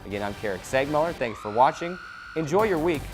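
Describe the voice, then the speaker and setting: A man hosting a sports recap show, reading out schedules to viewers in a slightly halting announcer delivery. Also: a little high, animated, music in the background.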